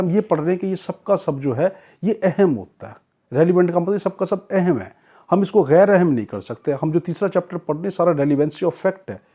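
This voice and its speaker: A man's voice: fairly high pitch, dark tone, husky. A Pakistani man giving an explanatory law lecture, restating key points for his students. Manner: reads English legal phrases out slowly. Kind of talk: explanatory classroom lecture with examples. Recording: dull, muffled lo-fi lecture audio.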